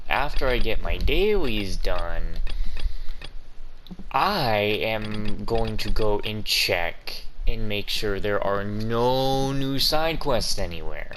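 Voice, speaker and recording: A man's voice: lowish voice, fairly bright tone, a little hiss or hum. A man giving casual gameplay commentary as a gaming streamer, slangy and exclamatory, given to swearing.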